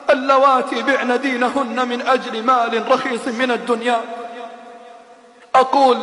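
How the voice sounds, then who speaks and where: A man delivering an impassioned sermon in a very high, bright voice that stays oddly level in pitch. He laments and admonishes through repeated rhetorical questions. His voice booms back with a long, roomy hall reverb. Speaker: preacher.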